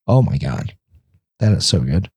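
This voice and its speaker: A man's voice: rumbling low, very animated, measured pace, a little mellow, slightly rough, dry recording. A man co-hosting a podcast in casual chat, slightly congested, rambling with lots of fillers. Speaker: podcast co-host.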